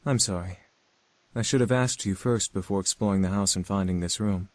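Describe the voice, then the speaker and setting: A man acts out a reserved, scholarly incubus from a visual novel game. He speaks formally and courteously, as if explaining, in a fairly low voice recorded very dry and close. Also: fairly quick, no echo, close to an everyday voice. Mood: courteous, apologetic. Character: polite, scholarly, reserved male incubus demon. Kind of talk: visual novel character acting.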